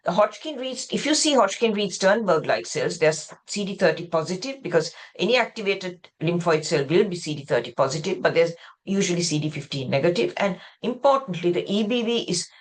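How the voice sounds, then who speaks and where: A woman's voice: lowish voice, animated, a little fast. A woman from Sri Lanka lecturing as a pathologist over a video call, explaining in a discursive way and often rephrasing mid-sentence. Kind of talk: medical lecture with slides.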